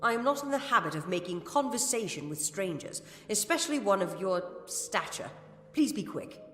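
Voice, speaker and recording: Haughty templar, echoing hall. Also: female voice, medium pitch, very animated, moderate pace, fairly bright tone. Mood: stern, dismissive. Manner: cold, formal, clipped. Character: stern, haughty female templar of high office. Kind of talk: scripted fantasy game dialogue. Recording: echoey hall, music behind.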